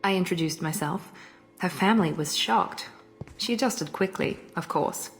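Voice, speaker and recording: A woman plays a cool, guarded space operative. Her voice sits a little low, composed and reserved. Soft music runs faintly under the game dialogue. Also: normal speed, lightly acted. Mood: reserved, wistful. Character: cool, guarded human female operative, quietly grateful. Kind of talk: video game voice acting.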